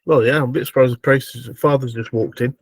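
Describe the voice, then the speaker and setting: A fast-talking man with a mid-pitched voice banters casually as a player in a tabletop game. The sound is dry, with little room around it. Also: fairly even intonation, husky, no echo.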